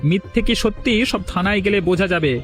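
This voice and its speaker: An actor playing a stern accuser in a cartoon story. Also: male voice, sits high, fairly quick, soft background music, natural voice. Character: stern accuser exposing the village villain. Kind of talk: Bengali cartoon story dialogue.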